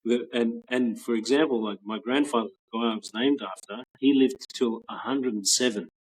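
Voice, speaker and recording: Husky Australian man in his forties. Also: medium pitch, moderate pace, low-quality recording. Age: 40s. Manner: relaxed, rambling with many restarts and soft laughs. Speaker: guest, patent office trainer. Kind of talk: casual interview chat. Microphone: video call.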